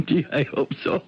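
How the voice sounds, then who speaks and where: A low-voiced American woman rambles through a despairing, self-mocking monologue. She is wry and keeps interrupting herself. The sound is a narrow, tinny 1970s radio drama recording. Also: a little hiss or hum. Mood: bitterly amused. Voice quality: low-pitched voice. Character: despairing, self-mocking actress, wife and mother, rambling tipsily alone.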